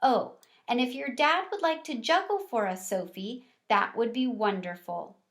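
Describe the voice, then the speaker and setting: A woman's voice: a little high, measured pace, fairly bright tone. A woman voicing a kindly, practical female primary school teacher in a children's storybook, patiently explaining.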